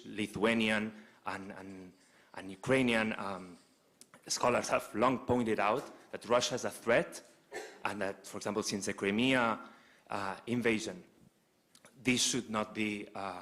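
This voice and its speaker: Young student from Spain asking a question, lowish voice, polite and earnest. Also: male voice, fairly bright tone. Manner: polite, earnest, halting with restarts. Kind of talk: audience question at a lecture Q&A.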